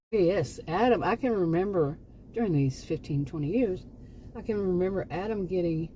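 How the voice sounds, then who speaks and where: A woman's voice: medium pitch, mellow, slight background noise, poor audio. A woman in her late fifties chatting to camera in a rambling, exasperated way, full of restarts and asides. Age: 50s. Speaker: vlogger. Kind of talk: casual chat to camera.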